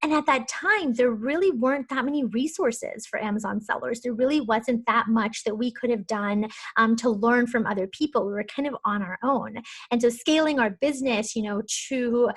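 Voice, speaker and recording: Woman, interview host, somewhat high voice, relaxed, many fillers, video call. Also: normal speed. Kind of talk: casual interview hosting.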